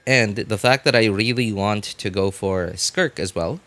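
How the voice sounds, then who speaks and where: Low-voiced man chatting on a game stream. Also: unhurried, a bit bright. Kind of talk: casual live stream chat with viewers. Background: music.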